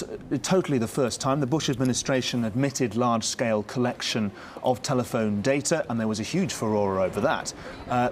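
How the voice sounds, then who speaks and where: A man reporting live as a news correspondent, speaking off the cuff in a halting way and repeating words. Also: medium pitch, slight background noise.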